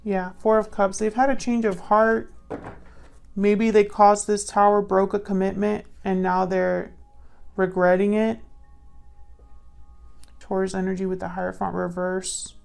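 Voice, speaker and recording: A woman giving a casual intuitive tarot reading to viewers, musing and pausing as she thinks aloud. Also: medium pitch, flat delivery, vocal fry, darkish tone, smooth. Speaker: tarot reader.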